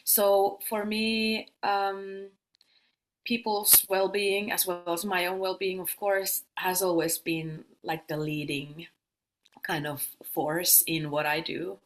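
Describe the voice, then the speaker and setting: A woman from Finland, likely in her thirties, a yoga teacher and sound healer answering interview questions casually, laughing often and pausing with fillers. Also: fairly low pitch, flat delivery, slight background noise, rough recording.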